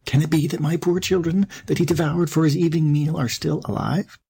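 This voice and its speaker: Man as elderly mother goat, storybook, caring, anxious, earnest, slightly lifted pitch. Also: moderate pace, a little mellow, close to an everyday voice. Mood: anxious wonder. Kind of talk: storybook character voice.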